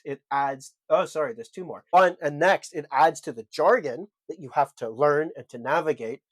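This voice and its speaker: A man's voice: medium pitch, dry recording. A man co-hosting a podcast, laying out his argument point by point with emphasis. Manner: emphatic, builds arguments in lists. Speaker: podcast co-host.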